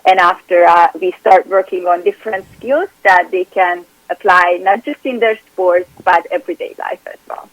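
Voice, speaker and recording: A woman's voice: on the low side, fairly even intonation, normal speed, few pauses, no room sound, rough recording. A woman from Hungary with a Hungarian accent, speaking earnestly as a guest on an interview podcast and often restarting her sentences. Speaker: performance coach.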